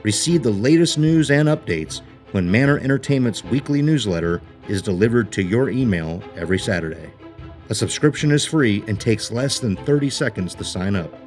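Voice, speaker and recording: A man with a fairly low voice delivers a scripted promo as an announcer. His read is structured like a broadcast notice, with music playing underneath. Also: a little mellow.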